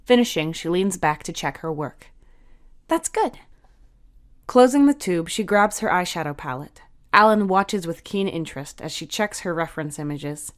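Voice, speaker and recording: A woman's voice: lowish voice, moderate pace, hardly any pauses. Woman narrating a story. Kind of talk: scripted narration of fiction.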